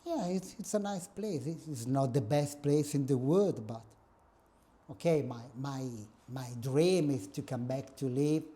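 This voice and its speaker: A man's voice: medium pitch, measured pace, dark tone. A middle-aged man from northern Italy with an Italian accent, a guest professor joking dryly about himself in a casual interview, recorded in a small office room. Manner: dry joking, self-mocking.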